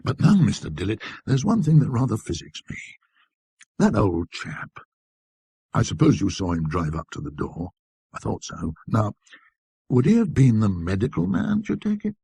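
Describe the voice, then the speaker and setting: A man voicing a rattled, talkative antique dealer who blusters and justifies himself in colloquial rambles, in a husky voice. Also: on the low side, huge pitch swings, normal speed, warm tone, no echo, heavily acted. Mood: confiding, earnest.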